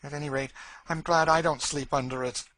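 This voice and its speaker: A man voicing a cold, mocking woman who taunts her cruel master, in a slow, sneering, eerie way. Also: fairly high pitch, steady tone, fairly bright tone, no room sound, voice pushed up, close to an everyday voice. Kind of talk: audiobook character voice.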